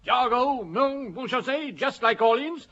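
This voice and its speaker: An indignant English chaplain with a high, bright, sharp voice. He bristles and presses every complaint with fervour. The sound is dull and lo-fi, like an old radio play. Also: lively intonation, measured pace, exaggerated voice. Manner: indignant and fervent. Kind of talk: classic radio drama acting.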